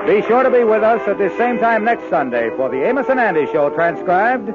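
A man's voice: high-pitched, expressive, brisk, over loud music. A man reading a scripted closing announcement as a 1950s radio announcer. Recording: tinny, narrow-band old radio broadcast.

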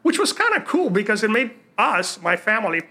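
A man's voice: high-pitched, big pitch swings, fairly quick, creaky, bright, forceful tone. A man in his sixties from Romania, with Romanian as his first language, telling his life story in a casual, rambling interview full of fillers and restarts. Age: 60s. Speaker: guest.

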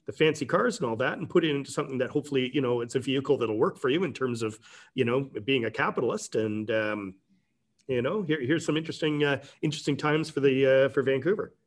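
A man's voice: medium pitch, fast. Rambling middle-aged man. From Canada. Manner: rambling, many restarts and "you know". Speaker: tech company president, guest. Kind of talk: casual interview talk.

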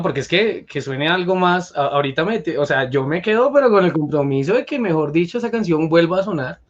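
A man from Colombia speaks with a Colombian accent as a band musician guesting on an online radio program. His voice sits slightly high, and his audio comes through dull and lo-fi. He talks nervously and self-deprecatingly. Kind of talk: casual online radio interview.

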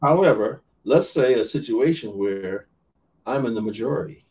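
A man's voice: mid-range voice, lively intonation, normal speed, darkish tone, distant mic. Earnest man preacher, a little off-mic. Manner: earnest, many restarts and repeated words. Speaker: minister. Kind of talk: podcast interview talk.

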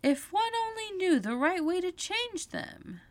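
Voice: extremely high pitch, big pitch swings, measured pace, no echo, pitched above the performer's own voice, exaggerated voice. A narrator voicing an earnest, prim and inquisitive young girl in a storybook reading. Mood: pondering.

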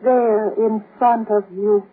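An actress playing a dead wife's ghost in a 1930s radio horror play, calling eerily in long drawn-out words. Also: female voice, mid-range voice, steady tone, narrow, tinny audio, lightly acted. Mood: eerie, beckoning. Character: ghostly dead wife calling from beyond the grave. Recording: noisy, band-limited 1930s radio recording.

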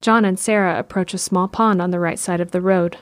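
Low-voiced woman narrating drama. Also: fairly even intonation, a little fast, a little hiss or hum. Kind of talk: scripted audio drama narration.

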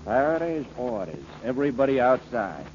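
A man's voice: medium pitch, big pitch swings, normal speed, a little mellow, natural voice. An American actor playing a brusque, bossy policeman in 1940s radio drama style, curt, slangy and commanding. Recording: muffled, hissy old radio recording.

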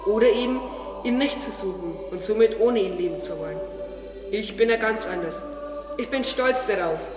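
A woman's voice: medium pitch, natural voice. A woman playing a proud, curious talking garden tree in an audio drama. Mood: musing, proud. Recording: echoey, long reverb, distant, under music. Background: music.

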